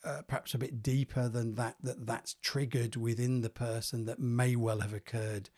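A man co-hosting a podcast, slightly croaky from a cold, talking thoughtfully with hesitations and repeated words. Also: mid-range voice, level tone, moderate pace, darkish tone. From England. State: croaky, getting over a cold. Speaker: podcast co-host, therapist. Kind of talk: casual podcast chat.

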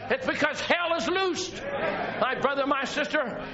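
High-pitched gravelly man preaching loud in church, muffled lo-fi recording with the congregation around him. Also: unhurried, music in the background. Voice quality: high strained shouting voice, gravelly. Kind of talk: shouting fiery sermon.

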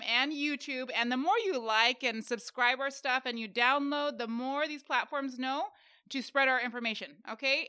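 A woman co-hosting a podcast in casual chat, playful and quick to joke and giggle. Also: sits high, pushed and bright, smooth. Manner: playful, giggly, jokes around. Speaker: podcast co-host.

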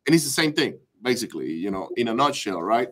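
A man's voice: medium pitch, very animated, fast, fairly bright tone. A man from Venezuela hosting a podcast interview, talking in a casual, chatty way with many "uh" fillers. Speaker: podcast host.

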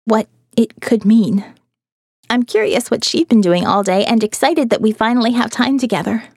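A female audiobook narrator with a fairly high voice reads a first-person diary. She is expressive and reflective with a wry edge. The recording is close and dry, with no room sound. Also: moderate pace, slightly rough, no room sound. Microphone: close studio mic, dry.

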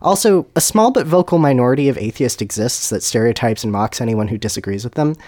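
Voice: medium pitch, expressive, fast talker, mellow, close to an everyday voice. A reader voicing an exasperated, defensive young male villain who protests in a whiny, sarcastic way, in a comedic fan fiction reading.

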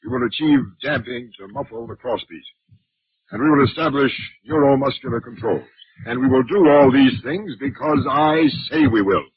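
Actor as husky, imperious alien commander. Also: male voice, mid-range voice, moderate pace, lightly acted. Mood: stern, commanding. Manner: curt, commanding. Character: imperious, ruthless alien military commander, stubborn and driven. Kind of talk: 1950s radio drama acting. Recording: dull 1950s radio recording.

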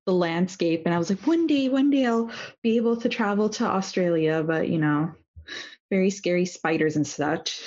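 A woman's voice: on the low side, moderate pace, darkish tone. A woman co-hosting in casual chat, thoughtful and asking questions. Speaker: podcast co-host. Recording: dull lo-fi audio.

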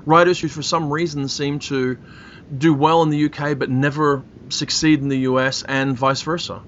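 A man's voice: fairly high pitch, steady tone, moderate pace, a bit bright. A man hosting a casual interview, putting conversational questions to his guests. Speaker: podcast host and interviewer. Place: internet call. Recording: muffled internet call, faint noise.

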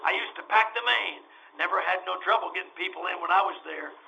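A man playing a high-pitched, stammering desperate dreamer. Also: creaky, pushed and bright, heavily acted. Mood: wistful, fervent. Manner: stammering, halting, emphatic. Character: desperate, dreamy male former circus publicity man. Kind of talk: old-time radio drama acting. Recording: dull lo-fi audio.

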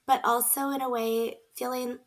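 A woman's voice: on the high side, little pitch movement, moderate pace. A woman in her thirties, a podcast guest, talking in an earnest, reflective way with many fillers. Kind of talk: casual conversation.

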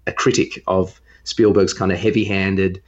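Man, lowish voice, casual podcast host, halting repeated words, muffled lo-fi sound. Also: flat delivery. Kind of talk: casual film discussion.

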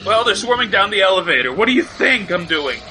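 An actor playing an excitable, complaining mercenary gunner, sarcastic and foul-mouthed, in an action audio drama. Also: male voice, high-pitched, quick pace, faint music behind, full character voice. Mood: panicked sarcasm.

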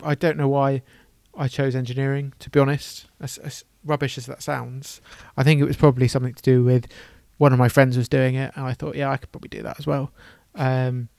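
Gravelly, flat-toned English man. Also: medium pitch, a little fast, hardly any pauses, dry recording. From England. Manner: friendly, encouraging, hesitant fillers. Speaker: podcast host. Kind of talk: casual interview chat.

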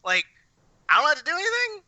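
A man co-hosting a podcast in casual chat, telling things in an animated, sweary way. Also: very high voice, quick pace, dry recording. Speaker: podcast co-host.

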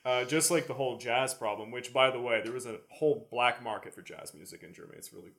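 A man with a mid-pitched voice in casual podcast conversation. He explains history informally, wandering into asides as he goes. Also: fast talker, gravelly, fairly bright tone. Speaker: podcast co-host answering history questions.

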